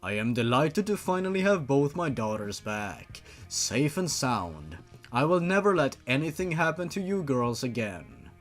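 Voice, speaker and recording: A man voicing a solemn father sorcerer in a theatrical fan fiction reading, given to slow, booming incantations. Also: big pitch swings, faint music behind. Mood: grave, fond. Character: solemn father sorcerer chanting resurrection spells.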